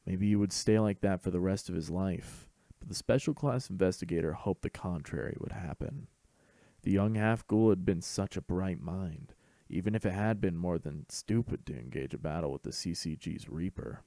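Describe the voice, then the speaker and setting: A man's voice: low-pitched, normal speed, dark tone, dry recording. A man reading a scripted fan fiction story as host and narrator.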